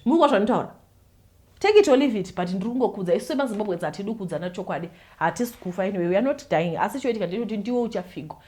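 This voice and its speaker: A Zimbabwean woman with a fairly high voice talks quickly and animatedly, moving freely between Shona and English. She addresses her viewers in a casual, chatty way, pressing her points and laughing easily as she goes. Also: a little mellow. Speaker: YouTube host.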